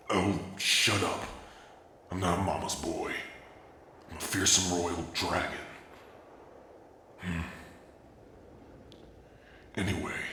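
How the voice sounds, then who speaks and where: Give us a brash dragon heir, voiced by a man who teases and shields the listener at once. His voice sits low and bright with real force. The ASMR roleplay sounds recorded in a roomy, echoing space with faint ambience behind it. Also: slight background noise. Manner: cocky, teasing and protective. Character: brash young male dragon prince, future king.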